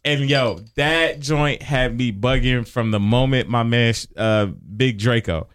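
A man's voice: medium pitch, slow, a bit bright, smooth. A man from Illinois co-hosting a podcast, chatting casually in loose slang with frequent restarts. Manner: laid-back slang, frequent restarts and laughter. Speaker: podcast co-host. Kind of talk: casual chat.

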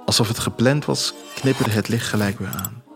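A man narrating a scripted first-person story in a measured, literary way. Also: on the low side, creaky. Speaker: author reading own story as narrator. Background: music.

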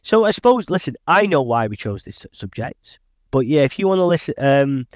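A man's voice: mid-range voice, very animated, brisk, no room sound. A man hosting a webinar interview over a video call, with meandering phrasing and a habit of saying "I suppose". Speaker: host and recruitment director. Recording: dull, dry lo-fi call audio.

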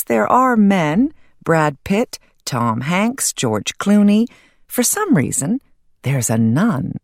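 A woman reading a nonfiction audiobook as narrator, in a measured, articulate and wry way. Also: low voice, darkish tone, no echo, studio quality.

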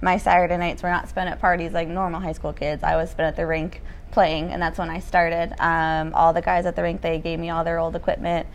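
A woman's voice: fairly low pitch, flat delivery, a little fast, slight background noise. A woman in her thirties from Canada, guest on an interview show, talking casually with lots of fillers and easy laughs. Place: ice rink.

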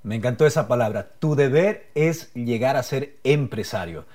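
Mellow, earnest male podcast host. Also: mid-range voice, expressive, normal speed. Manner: earnest, speaks directly to viewers. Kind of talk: motivational podcast talk. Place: live streamed podcast.

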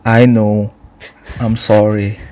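Very slow, subdued young man, played by an actor. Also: on the low side, level tone, mellow. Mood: remorseful, longing. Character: apologetic, lovesick young man, quiet and resigned. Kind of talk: audio drama dialogue.

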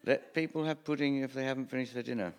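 A man improvising live comedy as a great leader, with a halting, deadpan delivery of absurd pronouncements. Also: mid-range voice, smooth. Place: radio theatre stage before an audience. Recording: live theatre, loud audience, noisy.

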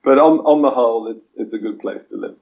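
A man who was born in Chile and grew up in Britain and South Africa, a historian answering interview questions in a measured, hesitant way with many fillers. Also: medium pitch, phone-quality sound. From Chile-born, raised in Britain and South Africa. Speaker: historian guest. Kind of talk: podcast interview.

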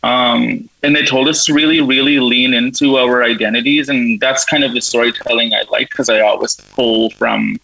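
A man's voice: mid-range voice, steady tone, moderate pace, creaky, few pauses, bright, forceful tone, poor audio. Eager, chatty man in his thirties. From Canada. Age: 30s. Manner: eager, chatty, laughs often, lots of "like". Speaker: guest, writer and producer. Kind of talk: casual interview answers.

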